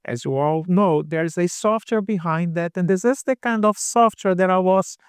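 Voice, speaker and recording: A man's voice: high voice, moderate pace, clean tone, dry recording, clean studio sound. A man in his fifties from Brazil with a Brazilian Portuguese accent, co-hosting a casual podcast chat in an earnest, explanatory way. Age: 50s. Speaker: podcast co-host.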